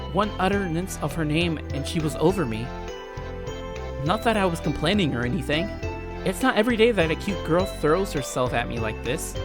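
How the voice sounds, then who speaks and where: Female game streamer, low voice, very lively intonation, slangy and playful, reading and commenting casually over music. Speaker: Let's Play streamer. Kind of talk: casual game commentary and reading visual novel text aloud.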